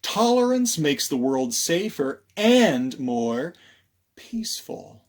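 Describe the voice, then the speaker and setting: A man reads to children as a storytime librarian. His voice sits a little high and swings widely in pitch. He takes his time, with theatrical pauses and questions aimed at young listeners. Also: big pitch swings. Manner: theatrical, with dramatic pauses and hushes. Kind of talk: animated children's storytime read-aloud.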